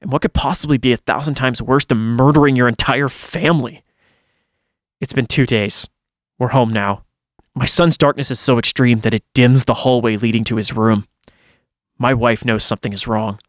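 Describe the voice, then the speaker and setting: A man's voice: medium pitch, moderate pace, dry recording, clean studio sound. A man reading a first-person horror story aloud in a dramatic storytelling style, as a podcast host would.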